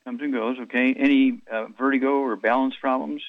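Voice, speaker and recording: A man hosting a radio health call-in show, telling rambling stories and firing brisk questions at callers. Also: mid-range voice, fairly even intonation, no echo, phone-quality sound.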